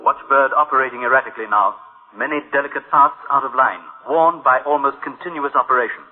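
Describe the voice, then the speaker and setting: A man's voice: medium pitch, fast, lightly acted. An actor playing a solemn male narrator of a science-fiction radio tale, clipped and ominous in delivery. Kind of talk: dramatic scripted radio narration. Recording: tinny radio recording, loud dramatic music.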